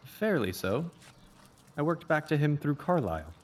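A man playing an educated, attentive gentleman who assists a detective, answering briefly and politely in a dramatized audiobook. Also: on the high side, very animated, normal speed, slight background noise.

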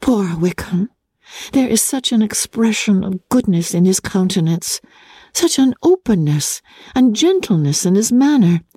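A woman reader plays a gentle young English gentlewoman from a classic novel. She is tender-hearted and easily distressed, speaking in a soft, breathy, earnest way with very lively rises and falls. The sound is close and dry. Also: medium pitch, unhurried, no echo. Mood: wistful pity. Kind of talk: dramatic classic novel reading.

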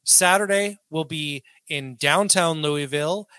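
A man's voice: on the high side, measured pace, pushed and bright, clean tone, no echo. Joking, crude male podcast host. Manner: loose, joking, crude humor. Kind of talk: casual podcast chat.

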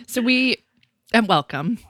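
A woman's voice: fairly high pitch, expressive, slow, fairly bright tone, slight background noise. A woman from Massachusetts hosting a podcast, talking in a rambling, casual way that mixes in frequent laughs and casual swearing. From Massachusetts, USA. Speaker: podcast host.

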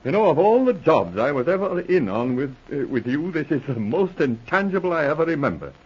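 An actor playing a questioning, mildly sceptical police sergeant, the junior partner in a 1940s radio drama. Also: male voice, a little high, brisk, husky. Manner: animated, questioning. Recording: dull, muffled old radio recording.

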